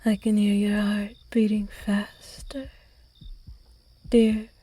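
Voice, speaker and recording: A woman playing a breathy, whispering vampire. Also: medium pitch, flat delivery, slow pace. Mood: softly menacing. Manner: soft, seductive whispering. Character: charming, flirtatious female vampire at a masked ball. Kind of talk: audio roleplay.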